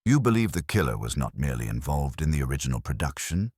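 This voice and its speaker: A man's voice: very deep voice, big pitch swings, quick pace, a little mellow, husky, no room sound, clean studio sound, exaggerated voice. A man voicing a detective's cautious, puzzled companion in an audio story, earnest and questioning.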